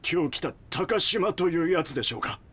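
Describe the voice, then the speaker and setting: An actor playing a deferential cult henchman in an audio drama, husky and furtive as he reports to his superiors. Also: a little high, lively intonation, brisk, a bit bright.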